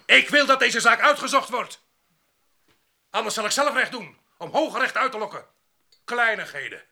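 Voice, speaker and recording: Sharp, hot-headed farm labourer. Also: male voice, extremely high pitch, animated, moderate pace, gravelly, exaggerated voice. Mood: indignant. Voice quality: sharp, forceful. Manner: blustering, sarcastic. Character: hot-headed, quarrelsome male farm labourer demanding justice. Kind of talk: radio drama acting.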